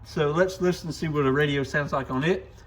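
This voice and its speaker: A man's voice: a little high, a little fast, fairly bright tone, breathy edge. A man hosting a casual hands-on product demo, thinking aloud informally as he tinkers. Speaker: radio shop reviewer and video host.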